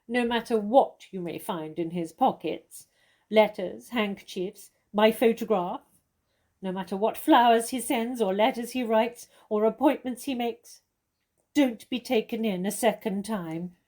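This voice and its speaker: Famous stage actress played by a woman, witty and self-assured, gently mocking, mellow tone, theatrical comedy delivery. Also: fairly high pitch, unhurried. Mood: advising, arch. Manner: arch, condescending, theatrical.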